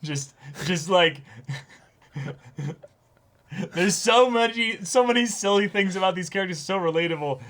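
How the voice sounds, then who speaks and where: A young man in casual discussion as co-host of a reaction show, often repeating words and acting out moments as he talks. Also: sits high. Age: young adult. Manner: animated, halting and repetitive, acting out moments. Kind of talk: casual chat, episode discussion. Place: small indoor room, seated before a backdrop.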